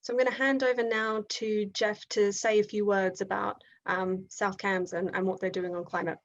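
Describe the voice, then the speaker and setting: A woman's voice: medium pitch, little pitch movement, normal speed, muffled recording. A woman with an Australian accent hosting an online event on a video call, talking with frequent ums and restarts. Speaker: event host.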